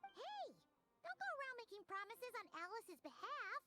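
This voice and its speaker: A woman voicing a tiny, excitable, childlike fairy companion with a squeaky, piping voice in a video game. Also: normal speed, music in the background. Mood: indignant. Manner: excitable, exclamatory, refers to self by name. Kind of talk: animated game voice acting.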